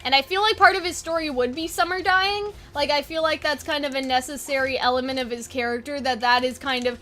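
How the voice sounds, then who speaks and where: A woman with a high, bright, forward voice talks casually as an online host. She rambles informally through her ideas without a script, like someone brainstorming out loud with friends. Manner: rambling, informal, occasionally sweary. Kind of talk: casual unscripted brainstorming chat.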